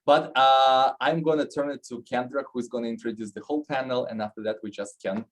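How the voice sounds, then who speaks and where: A man's voice: medium pitch, fairly even intonation, a little fast. A man in his thirties from Russia with a Russian accent, moderating an online panel and telling his own story with many fillers and restarts.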